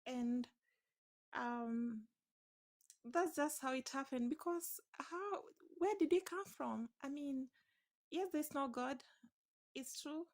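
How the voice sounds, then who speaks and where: A woman's voice: high voice, slow, pauses often. Hesitant woman, Swahili first language. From Swahili-speaking East Africa. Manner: hesitant, searching for words. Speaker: caller. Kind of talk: casual debate call.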